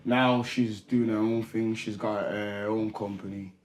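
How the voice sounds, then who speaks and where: A man hosting a podcast, talking casually with lots of slang, repetition and loud laughter. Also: fairly low pitch, fairly even intonation, unhurried. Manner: casual slang-heavy, laughs loudly, repeats himself. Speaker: podcast host. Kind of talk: casual interview chat.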